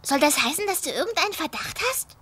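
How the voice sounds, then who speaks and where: A woman's voice: extremely high pitch, animated, fast, sharp tone, heavily acted. A woman playing a bubbly, scatterbrained teenage schoolgirl in an anime audio drama. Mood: puzzled.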